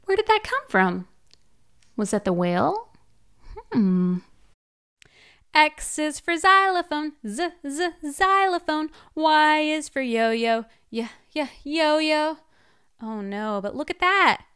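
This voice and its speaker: High, slow, animated storytime woman. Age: young adult. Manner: slow, animated storytime delivery with playful sound-outs. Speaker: children's librarian. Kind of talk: reading a picture book aloud to children. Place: small home room, indoors. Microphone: large studio mic on arm in front of her.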